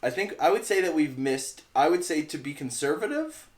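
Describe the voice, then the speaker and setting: A young man with a stuffy, congested voice and a dry cough, trading crude, rambling jokes on a casual podcast. His pitch rises and falls a lot as he talks. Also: expressive, brisk. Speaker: podcast co-host.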